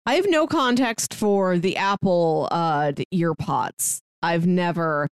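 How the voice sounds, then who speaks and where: A woman's voice: fairly low pitch, animated, slow, smooth, no room sound, studio quality. A woman co-hosting a podcast, chatting casually with brief, matter-of-fact, playful remarks. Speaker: podcast co-host. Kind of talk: casual chat.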